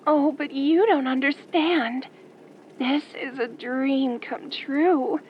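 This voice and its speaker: Woman doing gushy starstruck girly caller, high voice, dull noisy phone line, audio roleplay. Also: steady tone, slow. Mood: starstruck, adoring. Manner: breathlessly starstruck, sugary. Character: gushing, adoring, girlish obsessive female fan caller. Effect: phone caller filter.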